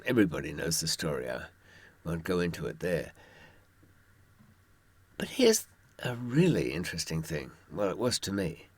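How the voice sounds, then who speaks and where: A man's voice: sits low, big pitch swings, moderate pace, slightly rough, dry recording. A man telling anecdotes and giving advice in an informal monologue, with wry, dry humour and asides to the listener. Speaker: author giving prison survival advice from experience.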